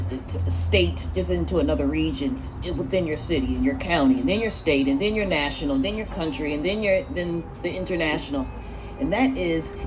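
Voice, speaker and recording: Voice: mid-range voice, noisy background. A panelist who talks in a rambling way, often stumbling and restarting mid-sentence. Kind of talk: panel discussion.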